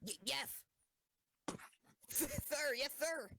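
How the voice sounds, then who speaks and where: A man's voice: very high-pitched, extremely slow, gravelly, sharp tone, heavily acted. A man playing a fawning, overeager schoolgirl tank loader who stammers, in an amateur audio drama. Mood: flustered, eager.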